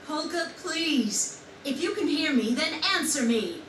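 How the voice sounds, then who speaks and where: Anxious game mom, soft high pleading voice, echoey and far away. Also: female voice, lively intonation, unhurried. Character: soft, worried, pleading mother. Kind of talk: English video game dub acting. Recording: roomy, distant game audio, faint noise.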